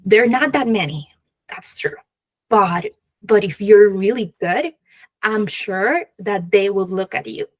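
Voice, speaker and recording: A woman with a Peruvian accent, talking as a guest expert in a conversational interview, halting and often laughing lightly mid-sentence. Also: mid-range voice, expressive. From Peru. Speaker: guest expert, venture capital professional.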